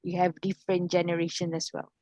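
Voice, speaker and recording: A woman's voice: lowish voice, completely flat delivery, mellow, no room sound. Malaysian woman teaching, dry close mic. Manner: mixes English with Malay fillers, drawn-out prompting questions. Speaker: English teacher. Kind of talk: online class discussion.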